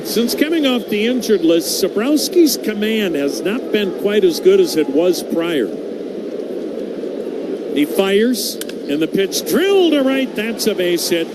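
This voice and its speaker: A man calling baseball play-by-play for radio in measured, descriptive, short clipped phrases. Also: sits high, big pitch swings. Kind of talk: live radio sports commentary. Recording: very noisy live broadcast.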